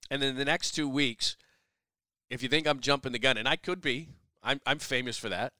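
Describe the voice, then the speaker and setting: A man hosting a sports podcast, slightly hoarse, firing off quick opinions with self-mocking asides. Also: medium pitch, bright, forceful tone, no echo. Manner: brash, rapid-fire opinions with self-deprecating asides. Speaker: sports radio host. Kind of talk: solo sports podcast commentary.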